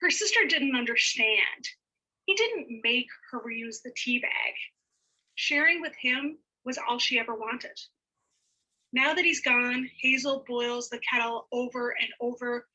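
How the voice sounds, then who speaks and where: Female author, measured reading voice, bright and a bit high. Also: low-quality recording. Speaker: author pitching a manuscript. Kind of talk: reading her own short story aloud.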